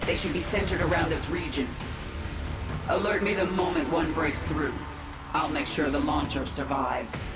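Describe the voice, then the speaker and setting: A woman's voice: low-pitched, steady tone, normal speed, lightly acted. A woman playing a commanding, vengeful warrior queen of an alien swarm in a video game, imperious and resolute. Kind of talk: video game cutscene acting. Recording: echoey sound under loud music. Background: loud music.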